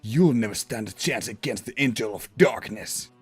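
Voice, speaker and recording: A man playing a brooding young huntsman in a fan audio drama, slow and ominous, with a raspy, menacing delivery. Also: on the low side, expressive, soft background music, lightly acted. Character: brooding young huntsman, a menacing self-styled angel of darkness.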